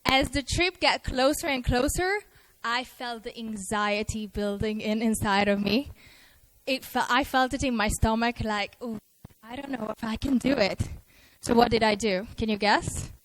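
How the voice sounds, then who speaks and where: Lively woman speaker on a handheld mic. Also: on the high side, moderate pace, low-quality recording. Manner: lively, self-mocking, acts out her own inner worries. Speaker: conference speaker. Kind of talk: motivational stage talk. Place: festival stage before a live audience.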